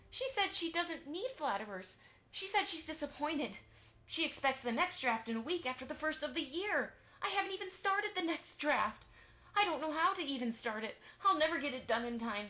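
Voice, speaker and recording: An anxious mare novelist played by a woman in a fan audio drama. The voice is high and tense, with a fretful manner that easily spirals into panic. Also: pushed and bright.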